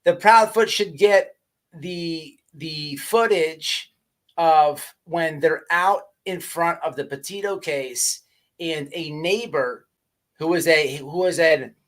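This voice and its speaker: A man's voice: fairly high pitch, takes it slowly, fairly bright tone. A man hosting a casual livestream, ranting and chatting to viewers in profane slang, often stammering and repeating his words. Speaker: livestream host.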